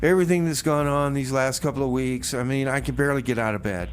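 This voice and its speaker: A man's voice: medium pitch, fast, a little hiss or hum. A man hosting a music radio show, speaking in a hesitant, weary way. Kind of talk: music show back-announcing mixed with comedy skit chat.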